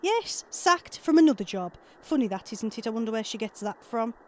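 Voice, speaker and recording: A woman acting an irritable, sharp-tongued, sarcastic middle-aged mother and ex-wife in an audio drama. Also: on the high side, lively intonation, moderate pace, natural voice. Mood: exasperated sarcasm.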